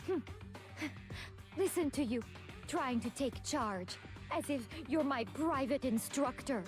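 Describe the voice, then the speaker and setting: This is a proud, composed girl gambler from a video game. She speaks slowly and theatrically, with a slightly high, lofty tone. Game music plays clearly behind her. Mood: haughty.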